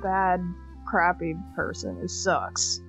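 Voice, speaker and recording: A woman's voice: lowish voice, very animated, takes it slowly, a bit bright, faint music behind, exaggerated voice, poor audio. A woman voicing a snarky, easily disgusted young woman, sarcastic and grudging, in a comedic fan fiction roleplay.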